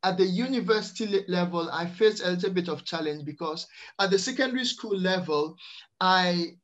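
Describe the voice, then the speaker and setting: A middle-aged man from Ghana, the Netherlands and France, telling his own story in a hesitant, measured way on a video call. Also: high-pitched, fairly bright tone. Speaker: interview guest, early years teacher. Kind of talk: personal storytelling in an interview. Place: small plain room indoors, on a video call. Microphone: computer microphone on a video call.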